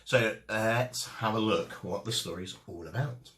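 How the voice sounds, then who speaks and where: A man reading a children's storybook aloud as a storyteller, with expressive, dramatic emphasis. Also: on the low side, measured pace, a little hiss or hum. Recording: echoey room.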